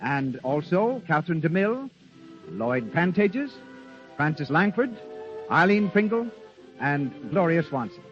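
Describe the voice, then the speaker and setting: A man's voice: on the high side, sing-song, measured pace, muffled recording, soft background music. A man announcing formally in 1930s radio style on a vintage broadcast.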